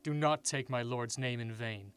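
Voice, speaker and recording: An actor playing a hostile, pious guard at a shelter in an audio drama, stern and suspicious. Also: male voice, medium pitch, moderate pace, a bit bright, clear, resonant tone, natural voice. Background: music behind the voice.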